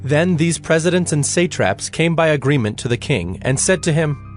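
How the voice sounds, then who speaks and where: A man's voice: on the high side, lively intonation, moderate pace, faint music behind. A man narrating a dramatized scripture reading in a scripted storytelling style.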